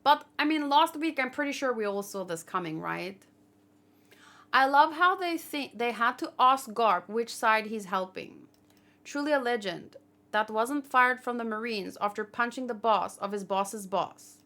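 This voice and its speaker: Female livestream host, on the higher side in pitch, informal discussion style, opinionated and reasoning as she goes. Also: animated, a little slow, pushed and bright. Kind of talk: casual chat, manga discussion and commentary.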